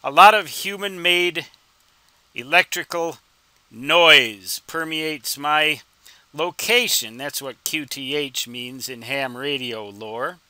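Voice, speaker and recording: A man in his sixties giving an informal explanatory tutorial, with deliberate, emphatic phrasing and rhetorical questions. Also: a little high, bright, forceful tone, no echo. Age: 60s. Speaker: instructor and ham radio hobbyist.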